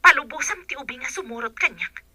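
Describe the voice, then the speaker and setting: A woman's voice: sits high, animated, fast talker, sharp tone, exaggerated voice. A woman playing a wronged, demanding wife and mother in a radio drama, with a northern Filipino accent.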